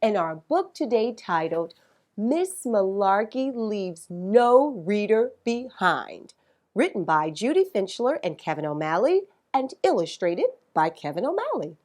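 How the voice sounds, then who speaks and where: A woman's voice: mid-range voice, big pitch swings, measured pace, a little mellow. A woman hosting a children's read-aloud, speaking with dramatic emphasis and asking questions like a teacher. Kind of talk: expressive picture-book read-aloud for children.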